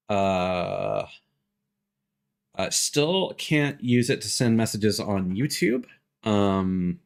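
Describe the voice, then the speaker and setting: A man talking casually as a live streamer, halting and hesitant as he thinks aloud. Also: fairly low pitch, a bit bright. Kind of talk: casual livestream chat.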